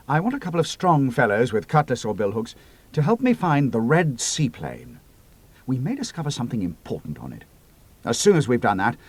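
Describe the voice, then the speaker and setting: A man voicing a brisk, commanding male squadron leader who gives crisp, decisive orders. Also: medium pitch.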